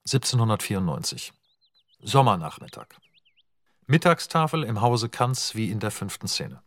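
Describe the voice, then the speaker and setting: Man reading stage directions, low voice. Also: sing-song, normal speed, no echo. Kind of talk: scripted radio play narration.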